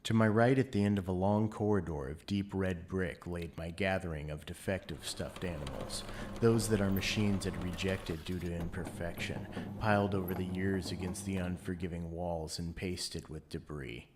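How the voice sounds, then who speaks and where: Low-voiced man narrating a brooding drama, noisy backdrop. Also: fairly even intonation, normal speed. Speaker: narrator of an audio play. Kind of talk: theatrical first-person scripted narration.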